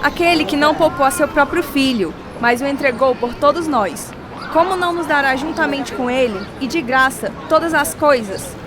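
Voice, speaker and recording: A woman's voice: high voice, normal speed, music in the background, lightly acted. An actress playing a devout young church girl giving a public testimony in a radio drama. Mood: fervent. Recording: noisy outdoor street, crowd behind.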